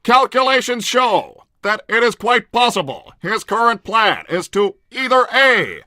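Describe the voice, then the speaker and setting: A man's voice: very high voice, very animated, a little slow, sharp tone, dry recording, studio quality, full character voice. A man voicing a cold, calculating, war-hungry cartoon robot with a stiff, formal, emotionless delivery. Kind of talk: improvised in-character conversation.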